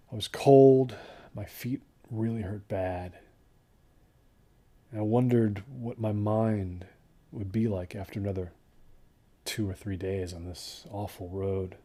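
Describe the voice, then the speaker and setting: A man plays a troubled hiker telling his story in an audio drama. His male voice is on the low side and soft-edged, with a conversational, reflective delivery that carries quiet unease. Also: fairly even intonation, slow pace, long pauses. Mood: uneasy recollection. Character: uneasy male desert hiker recounting a bad trip. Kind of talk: first-person audio drama monologue.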